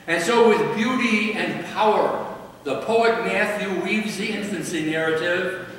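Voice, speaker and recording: An older priest preaches in a high, light voice, lingering over each phrase. He is heard from a distance through the long, echoing reverb of a large church, with faint room ambience underneath. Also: a bit bright, a little hiss or hum. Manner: slow, measured preaching with dramatic pauses. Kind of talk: sermon. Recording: echoey church from far off.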